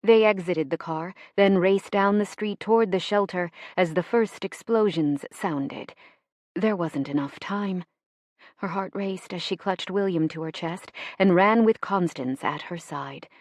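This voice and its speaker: A woman reading fiction as an audiobook narrator in an expressive, measured, dramatic storytelling style. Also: lowish voice, dry recording, studio quality.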